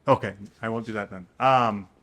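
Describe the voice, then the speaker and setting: A man's voice: lowish voice, fairly even intonation, moderate pace, fairly bright tone. A man co-hosting a book channel, talking in casual, informal chat with a friend during a guessing game.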